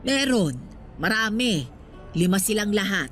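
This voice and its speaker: A woman's voice: mid-range voice, expressive, measured pace, fairly bright tone, faint music behind. A woman in a Filipino radio drama playing a talkative, chiding but proud street vendor aged sixty. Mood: resigned, proud. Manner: chatty, chiding.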